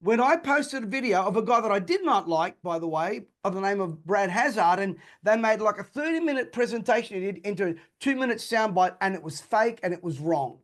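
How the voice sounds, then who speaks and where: Earnest high-voiced man hosting a chat. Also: animated, fairly quick, few pauses. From Australia. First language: Calabrian. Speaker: host. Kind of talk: interview.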